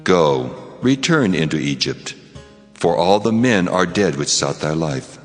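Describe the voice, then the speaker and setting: Man voicing a deep, reverberant, commanding God. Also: very animated, measured pace. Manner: solemn, authoritative, declamatory. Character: God, commanding and solemn male deity giving orders. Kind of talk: dramatized scripture reading. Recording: long cavernous reverb over music.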